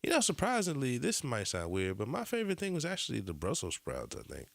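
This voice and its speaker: A man's voice: medium pitch, wildly swooping pitch, fast, gravelly, no room sound. A man co-hosting a casual podcast chat, laid-back and slangy, his voice still a little nasal from a cold. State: slightly nasal after a cold. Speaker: podcast co-host.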